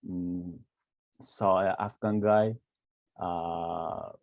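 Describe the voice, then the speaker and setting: Iranian-accented man, low mellow voice, halting. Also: dry recording, highs cut off. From Iran. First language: Persian. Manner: halting, searching for words with many fillers and restarts. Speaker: graduate film student. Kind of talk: interview answers.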